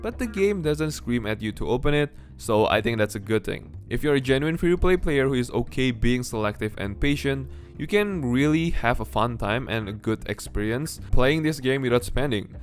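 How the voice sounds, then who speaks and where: A man delivers a casual video game review in a mid-pitched voice. He is blunt and conversational, dropping in offhand asides as he gives his opinions. Guitar music plays softly underneath.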